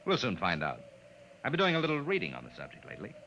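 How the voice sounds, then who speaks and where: A man playing a determined, wry, stubborn space agent in 1950s radio drama, brisk and plain-spoken. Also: on the low side, wildly swooping pitch, creaky, pushed and bright, muffled recording, full character voice. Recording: noisy vintage 1950s radio recording.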